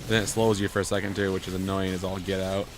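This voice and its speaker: A man chatting casually and jokily while he plays a video game, rambling with halting starts. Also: sits low, little pitch movement. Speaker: gaming video co-host and player. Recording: noisy home gameplay recording.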